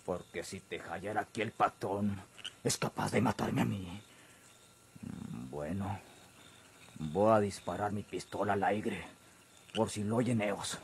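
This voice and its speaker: An actor playing an obedient but wavering armed ranch henchman in a Mexican radio drama, with a husky voice and a gruff rural Mexican accent. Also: lowish voice, measured pace, pauses often, slight background noise. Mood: wavering, grudging. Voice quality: husky, gravelly. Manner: gruff, rustic speech.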